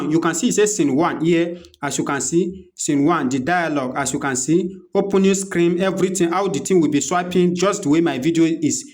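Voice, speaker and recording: Nigerian man giving step-by-step instructions, higher-pitched. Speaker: tutorial presenter. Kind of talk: unscripted screen-recorded software walkthrough.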